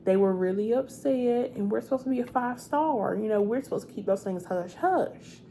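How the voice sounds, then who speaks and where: Woman as sweet, nosy hotel receptionist for ASMR roleplay; mellow, higher-pitched voice, confiding chatter. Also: moderate pace, creaky, slight background noise. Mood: chatty, self-justifying. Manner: confiding, chatty, repeats words. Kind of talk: soft-spoken ASMR roleplay.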